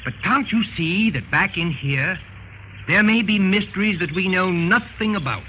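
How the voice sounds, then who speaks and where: A man acting an excitable, superstitious young man in a 1930s radio play, urgent and melodramatic. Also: high-pitched, moderate pace. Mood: insistent. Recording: noisy, tinny 1930s radio recording.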